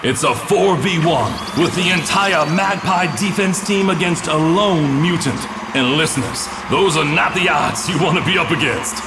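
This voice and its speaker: A man playing an excitable, dramatic sports commentator giving play-by-play in a cartoon. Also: fairly high pitch, moderate pace, background noise, natural voice. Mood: dramatic build-up.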